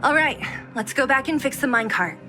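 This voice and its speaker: A tough, streetwise young fighter from a video game. She is blunt, confident and teasing, with a slightly high, animated voice. Music plays underneath her lines. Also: brisk. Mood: gruffly approving. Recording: game audio with music behind.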